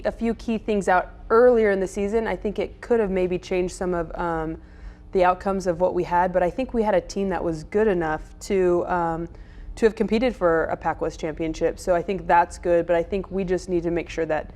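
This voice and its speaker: A woman coach giving measured, somewhat hesitant answers as an interview guest. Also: fairly low pitch, steady tone, a little mellow.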